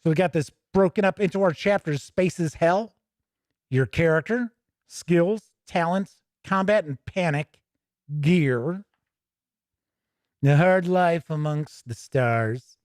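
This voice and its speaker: Higher-voiced man giving a casual game review. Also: no room sound, clean studio sound. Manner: conversational, opinionated, thinks aloud and pauses mid-sentence. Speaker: host reviewing tabletop games. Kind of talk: casual unscripted product review with passages read aloud.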